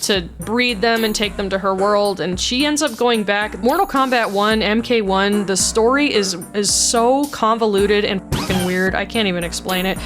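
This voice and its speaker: A woman's voice: mid-range voice, moderate pace, few pauses, music in the background. A woman casually talking through her voice mod work, chatty and blunt, laughing often. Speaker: voice mod creator. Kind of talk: casual commentary.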